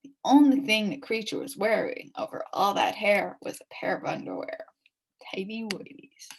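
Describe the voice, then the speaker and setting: A woman reading a children's story aloud in an expressive, dramatic way, dropping into hushed suspense at times. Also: medium pitch, moderate pace, creaky, husky, low-quality recording. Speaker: story reader.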